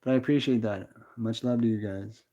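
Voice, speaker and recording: A relaxed young Canadian man talks loosely to his viewers at a medium pitch. He rambles, restarts his thoughts and keeps calling people brother. The sound is a little dull and lo-fi. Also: fairly quick. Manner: laid-back, rambling, calls everyone brother. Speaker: livestream host reading chat. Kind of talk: casual livestream chat.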